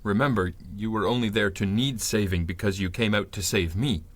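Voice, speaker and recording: A man's voice: lowish voice, no echo, natural voice. Actor as a hesitant, bewildered amnesiac man. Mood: mild, polite. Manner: hesitant, halting, mild and formal. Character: bewildered amnesiac male stranger, polite, earnest, haunted. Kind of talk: 1930s pulp radio drama acting.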